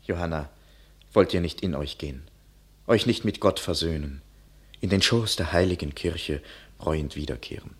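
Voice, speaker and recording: A man's voice: low voice, normal speed, slightly rough. An actor playing a loyal, earnest companion who pleads with and consoles a young warrior woman, in a classic verse radio drama. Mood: pleading.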